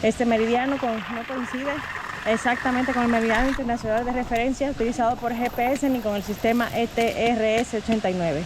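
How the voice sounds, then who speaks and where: A woman's voice: fairly high pitch, steady tone, a little fast, noisy background. A woman reads an informational text aloud in a formal, factual style.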